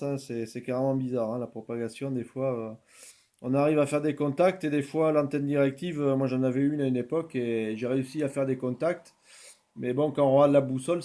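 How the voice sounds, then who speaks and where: A man's voice: mid-range voice, warm tone. A man working as an amateur radio operator, chatting casually and repeating himself with frequent tag words. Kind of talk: casual radio contact chat.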